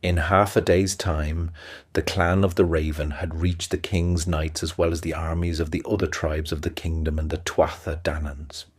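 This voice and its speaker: Super deep guy reading a fairy tale, flat monotone, dry close sound. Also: normal speed, no echo. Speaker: storyteller. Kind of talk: scripted fairy-tale narration.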